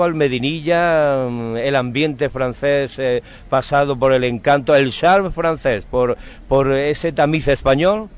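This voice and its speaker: A man hosting a conversational local radio interview, effusive and courteous, with florid phrasing. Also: medium pitch. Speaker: radio host interviewing on the street.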